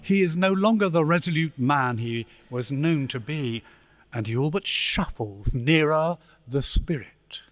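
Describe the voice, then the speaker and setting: A man narrating a radio play as dramatic, deliberate storytelling with suspenseful pauses. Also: on the high side, expressive, a bit bright, no room sound. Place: live stage performance in a church.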